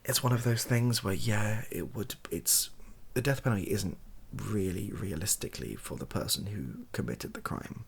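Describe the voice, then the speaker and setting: A young man speaking softly and breathily in a casual, rambling monologue, hesitant and self-deprecating as he gives his opinions. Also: lowish voice, animated, moderate pace, hardly any pauses. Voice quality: soft, husky, breathy. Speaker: online video creator giving opinions.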